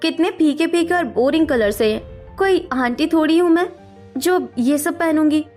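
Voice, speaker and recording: A woman acts out a spoiled, haughty young cartoon rich girl with a high, shrill-edged voice. She is brash and demanding and drops English words into her talk. Faint music sits underneath. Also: animated, normal speed, a little mellow. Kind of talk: animated cartoon story acting.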